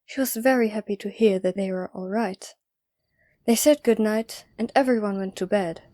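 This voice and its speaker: A woman's voice: mid-range voice, normal speed, mellow, no room sound. A woman narrator reading scripted story narration for an audio drama.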